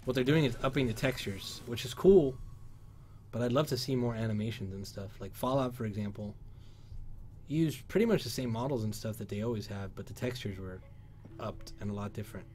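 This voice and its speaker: A man's voice: mid-range voice, brisk, a little mellow, slight background noise. A man in his thirties from Cuba, hosting a casual livestream and reading chat questions aloud through a headset. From Cuban. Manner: upbeat, reads chat aloud, sings along. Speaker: livestream host. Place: workshop studio indoors.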